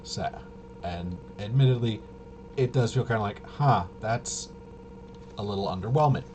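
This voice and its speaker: Male tabletop gaming host, lowish pitch, halting off-the-cuff explainer delivery, noticeably noisy room tone. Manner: halting, rambling, thinking aloud. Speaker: host of a tabletop RPG channel. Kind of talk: casual unscripted rules walkthrough.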